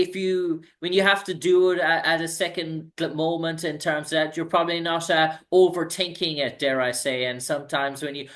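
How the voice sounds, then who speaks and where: A man's voice: a little high, pushed and bright. A man hosting an interview, asking long, rambling questions full of hesitations. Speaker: interview host.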